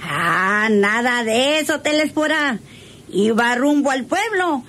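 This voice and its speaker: An actress with a Mexican accent playing a cheeky, gossipy country servant in a radio drama. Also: female voice, fairly high pitch, lively intonation, slow pace, fairly bright tone, exaggerated voice. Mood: breezy, teasing.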